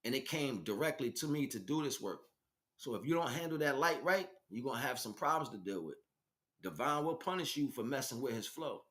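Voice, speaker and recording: A man's voice: medium pitch, expressive, fairly quick, a bit bright. An American man delivering an informal spiritual monologue as a teacher, speaking emphatically in streetwise slang and addressing listeners directly.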